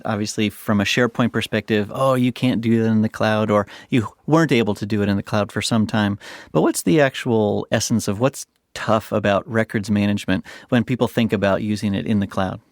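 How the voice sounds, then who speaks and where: A man's voice: lowish voice, brisk, no echo, clean studio sound. A man co-hosting a podcast who talks in an upbeat, playful way while asking questions. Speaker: podcast co-host. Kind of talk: conversational podcast interview.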